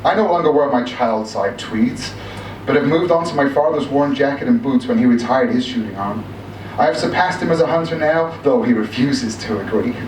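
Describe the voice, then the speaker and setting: A man's voice: a little high, voice pushed up, natural voice. A man playing an obsessive, boastful aging hunter in a dramatic story read aloud, with pompous, old-fashioned, theatrical diction. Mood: boastful, indignant. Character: obsessive, boastful aging male big-game hunter, bald, wistful. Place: live reading in a room. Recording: echoey room from a distance, noisy.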